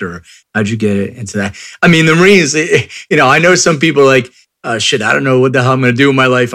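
A man's voice: mid-range voice, fairly bright tone, no room sound, studio quality. A man hosting an interview, asking rambling, jokey questions in a casual chat. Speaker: interviewer, host.

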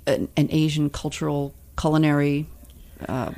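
A woman's voice: sits low, flat delivery, moderate pace, warm tone. A woman hosting a podcast interview, asking questions in a conversational, somewhat hesitant way. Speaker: podcast host. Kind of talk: casual interview.

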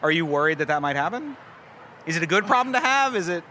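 A man hosting a podcast, interviewing a guest at a food festival in a chatty way, with long lead-ins and quick affirmations. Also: on the high side, sing-song, pushed and bright, slight background noise. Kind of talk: casual interview.

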